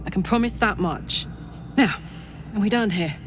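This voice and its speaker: An actress playing a gruff but reasonable companion in a fantasy video game, talking in a casual, blunt way. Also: female voice, mid-range voice, moderate pace, lightly acted. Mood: grudging.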